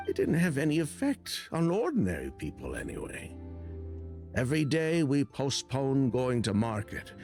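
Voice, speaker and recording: A man's voice: mid-range voice, wildly swooping pitch, normal speed, darkish tone, faint music behind. An actor playing a defensive middle-aged perfume businessman in a video game, dismissive and self-justifying.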